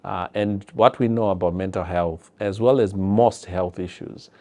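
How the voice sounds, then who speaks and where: Man, Zimbabwean accent, very low pitch, dark relaxed tone, earnest explainer. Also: a little slow. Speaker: public health professional. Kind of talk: explanatory interview talk.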